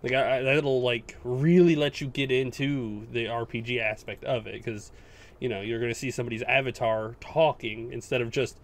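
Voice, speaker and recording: Male podcast co-host. Medium pitch, informal gamer-chat delivery, unscripted. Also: steady tone, moderate pace. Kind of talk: casual panel chat about gaming news.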